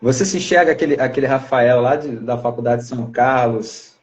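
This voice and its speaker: A man's voice: medium pitch, fairly quick. A Brazilian man from Brazil hosting a podcast, chatting casually and encouraging his guest. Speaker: podcast host. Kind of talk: casual interview chat.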